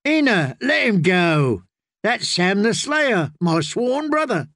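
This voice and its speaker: A man's voice: sits high, big pitch swings, slow, a bit bright, dry recording, clean studio sound, voice pushed up, full character voice. A man voicing a cocky, drunken young male singer, boisterous and teasing, in an audiobook. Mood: boisterous welcome.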